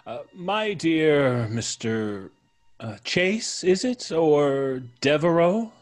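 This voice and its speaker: An actor playing a mysterious, suave intruder in an old time radio mystery, polite, deliberate and mocking, with a slightly husky voice. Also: male voice, on the high side, expressive. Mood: suave, sly. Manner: polite, deliberate, teasing, drawn-out delivery.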